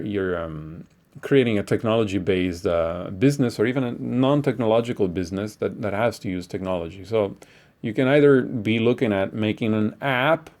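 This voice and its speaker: A man's voice: on the low side, darkish tone. A man giving a casual, rambling lecture as a course instructor, blunt and full of profanity.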